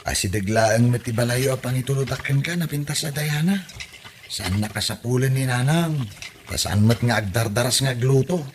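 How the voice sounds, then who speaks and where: A man's voice: medium pitch, moderate pace, a little hiss or hum, lightly acted. A man with an Ilocano accent playing a good-natured, simple-minded young villager in a comedy audio drama, naive and plain-spoken. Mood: eager, friendly. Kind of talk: amateur Ilocano comedy radio drama acting.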